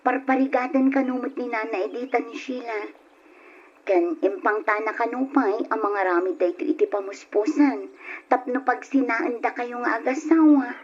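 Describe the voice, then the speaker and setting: A fairly high female voice with a Filipino accent plays a frank younger sister an actress's role. She confides earnestly and with sympathy, in an old radio drama that sounds dull and lo-fi. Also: normal speed, a little mellow, lightly acted. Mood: earnest, sympathetic. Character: younger sister, frank, sympathetic tattler to her big brother.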